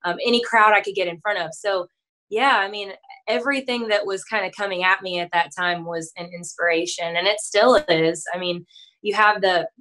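A woman's voice: mid-range voice, normal speed, bright, forceful tone, rough recording. Chatty young woman on a video call. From Texas. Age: young adult. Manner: friendly, chatty, frequent "um" and restarts. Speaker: country singer, guest. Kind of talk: casual interview chat. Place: room at home, indoors. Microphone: video call, webcam.

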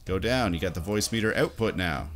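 Faint music plays behind a man in his thirties with a fairly low voice. He talks casually and informally, walking through a software tutorial step by step. He sounds close to a desk microphone. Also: lively intonation, quick pace. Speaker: tutorial host. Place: indoors at a computer desk.

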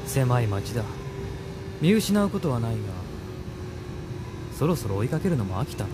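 An actor playing a confident, ruthless security police major in an audio drama, leisurely, smug and commanding. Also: male voice, mid-range voice, very animated, normal speed, heavy background noise, close to an everyday voice. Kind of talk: Japanese audio drama acting. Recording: loud music behind.

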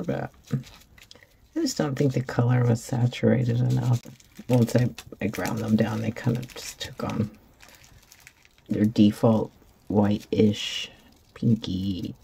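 Raspy-voiced woman crafting at her table. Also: extremely low pitch, expressive, unhurried, mellow. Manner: rambling, self-deprecating, stammers and repeats. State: raspy from cheering at a concert. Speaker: resin craft channel host. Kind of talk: casual chat while crafting.

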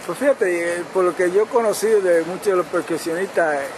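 A man's voice: high-pitched, brisk. A man hosting a casual interview outdoors, mixing English and Spanish with short affirmations. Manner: switches between English and Spanish, brief affirmations. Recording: street noise behind.

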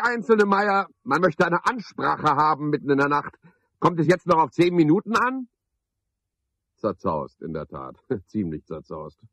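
An actor playing an eccentric, sardonic man in a radio play, rambling to himself and to unseen callers in a husky voice. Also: mid-range voice, a bit bright, dry recording, heavily acted. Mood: chatty, wry. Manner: sardonic, brooding, musing aloud in fragments.

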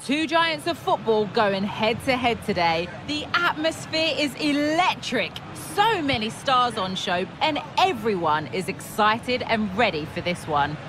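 A woman's voice: a little high, sing-song, measured pace, few pauses, noisy background. A woman playing a TV football presenter, delivering scripted pre-match commentary in a dramatic, hyped, emphatic way. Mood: excited anticipation. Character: excited female TV football presenter hyping matches. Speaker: sports commentator. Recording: clear stadium ambience behind voice.

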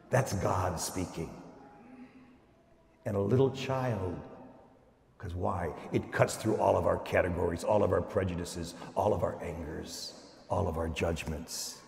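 Older American priest preaching in echoey church. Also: male voice, sits low, vocal fry, a little hiss or hum. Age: 60s or older. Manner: emphatic, dramatic pauses, rhetorical questions. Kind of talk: homily preached to a congregation. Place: large church, at the lectern. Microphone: gooseneck lectern microphone. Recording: echoey church with long reverb.

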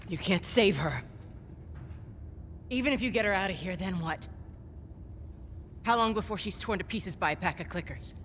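A grave, persuasive female resistance leader played by a woman. Her voice is breathy and mid-pitched, in cinematic game style, with faint noise around it. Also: expressive, moderate pace, long pauses, a bit bright, lightly acted. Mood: grim, urgent. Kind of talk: cinematic video game acting.

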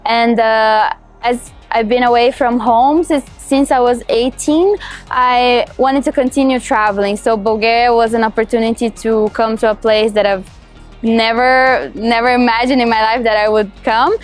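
A young woman from Brazil speaks English with a Brazilian Portuguese accent, her voice sitting fairly high. She answers questions cheerfully, laughing often and restarting her phrases. She is outdoors on a city terrace, speaking into a clip-on mic, with faint music underneath. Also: steady tone, moderate pace. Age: young adult. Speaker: culinary student. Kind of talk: interview answers.

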